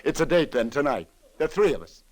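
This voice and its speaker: A coaxing old-time radio police detective. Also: male voice, a little high, sing-song, brisk. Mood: hearty. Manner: reassuring, colloquial, gently persuasive. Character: kindly, streetwise male police detective, coaxing and steady. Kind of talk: 1940s-50s radio drama acting.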